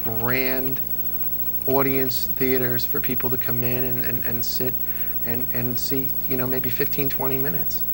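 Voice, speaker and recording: A man's voice: mid-range voice, monotone, a little slow, over music, rough recording. A middle-aged man chatting casually as an interview guest, telling anecdotes with enthusiasm and emphatically repeating words. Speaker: interview guest, old-time radio fan.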